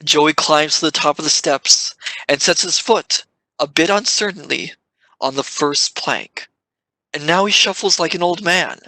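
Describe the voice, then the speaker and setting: Radio play narrator, unhurried, bright forceful tone, dry, dramatic. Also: medium pitch, dry recording, rough recording. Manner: dramatic, stressing sudden events.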